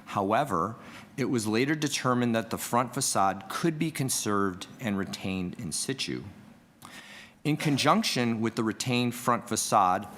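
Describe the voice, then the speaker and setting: A man from heritage planning staff presenting a report at a city board meeting, reading prepared remarks in a measured way. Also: mid-range voice, lively intonation, a bit bright. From Canada. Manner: measured, reads prepared remarks, asks for next slide. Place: council meeting room.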